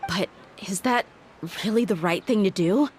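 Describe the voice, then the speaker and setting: A woman's voice: mid-range voice, normal speed, darkish tone, slight background noise, natural voice. A woman playing a tough, loyal young mercenary bodyguard, frank and principled, in an anime-style video game. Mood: firm, conflicted. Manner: frank, firm. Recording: music behind the voice.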